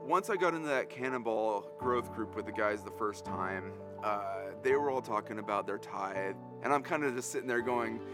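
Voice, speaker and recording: A man's voice: fairly low pitch, moderate pace, few pauses, over music. A man giving a candid church testimony in a conversational way. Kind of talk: testimony interview.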